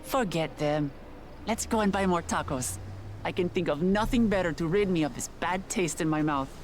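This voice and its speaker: A woman's voice: fairly low pitch, moderate pace, a little mellow, a little hiss or hum, natural voice. A woman voicing a proud, plain-spoken young princess in a video game, earnest and blunt. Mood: disgruntled. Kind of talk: scripted video game voice acting. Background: music.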